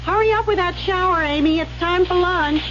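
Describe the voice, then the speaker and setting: A woman's voice: very high-pitched, brisk, music in the background. An actress playing a worldly, protective young woman in a radio drama, coaxing and probing her friend. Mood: coaxing, brisk. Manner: coaxing, probing, hesitant when worried. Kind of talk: 1970s radio drama acting. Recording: muffled, noisy old radio recording.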